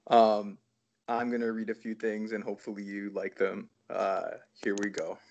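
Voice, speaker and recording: Creaky, low-voiced man reading poems. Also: level tone, normal speed, darkish tone, slight background noise. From Toronto, Canada. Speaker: poet reading own work. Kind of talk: poetry reading. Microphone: video call. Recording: dull lo-fi video call audio.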